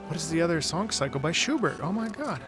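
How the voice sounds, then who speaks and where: A man giving casual live playthrough commentary as a game streamer, halting and rambling as he talks. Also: fairly high pitch, big pitch swings, loud music behind the voice.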